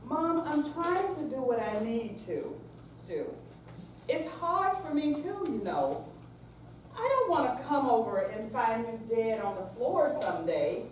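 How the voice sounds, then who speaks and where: A woman playing a patient, coaxing grown daughter in a staged reading, with deliberate, read-out delivery. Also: a little high, big pitch swings. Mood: firm, pleading. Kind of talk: staged readers theater reading. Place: senior center room, staged live reading. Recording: very echoey hall, distant mic, faint noise.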